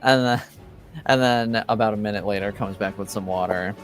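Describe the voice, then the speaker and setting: A man's voice: on the low side, moderate pace, soft background music. A man improvising casual game narration as game master in a loose, conversational way.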